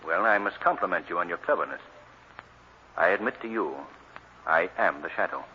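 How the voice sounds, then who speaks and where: An actor playing a composed, self-assured gentleman crime-fighter with formal diction in an old-time radio drama. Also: low voice, animated, normal speed. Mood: cool, probing. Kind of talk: 1940s radio drama acting. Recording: muffled, hissy old radio recording.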